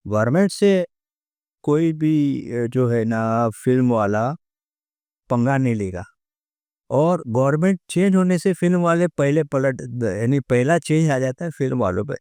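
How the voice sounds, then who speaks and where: A man's voice: mid-range voice, dark tone, clear, resonant tone, dry recording, studio quality. A man with an Indian accent giving his opinions as an interview guest, conversational and emphatic, repeating key phrases.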